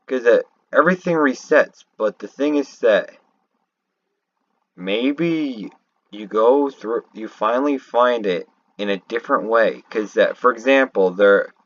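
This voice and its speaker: A man giving unscripted, rambling fan commentary on a video game, thinking aloud haltingly and often correcting himself. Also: mid-range voice, a bit bright. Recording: roomy, dull lo-fi home recording.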